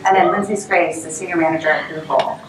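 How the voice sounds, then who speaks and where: A woman's voice: low-pitched, fairly even intonation, quick pace. Woman, panel moderator, casual, upbeat, fillers, distant mic, noisy room. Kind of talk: conference panel hosting. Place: conference stage. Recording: roomy, distant mic, audible noise.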